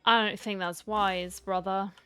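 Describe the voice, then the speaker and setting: Woman gamer, smooth clear voice, mid pitch, casual chatty commentary, faint game music. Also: steady tone, measured pace, a bit bright. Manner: chatty, talking back to the game. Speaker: gamer, streamer. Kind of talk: casual let's-play commentary, reading dialogue aloud.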